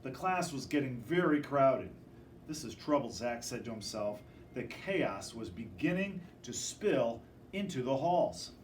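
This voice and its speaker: A man reading a children's picture book aloud in an expressive, dramatic storytelling manner. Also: medium pitch, unhurried, reverberant. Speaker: storybook reader. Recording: distant microphone with faint background noise.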